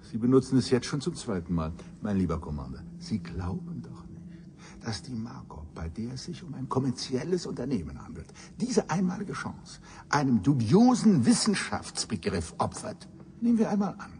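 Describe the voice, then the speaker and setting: Cynical, theatrically animated old professor. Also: male voice, medium pitch, background noise. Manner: pompous, theatrical, sardonic, elaborately worded. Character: cynical, bitter old male professor, arrogant scheming scientist. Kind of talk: 1980s German science fiction radio drama acting.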